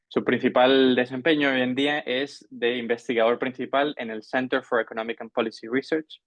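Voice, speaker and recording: A man's voice: medium pitch, no room sound. Male interviewer on dull, dry audio. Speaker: interviewer, show host. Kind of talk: interview introduction and questions.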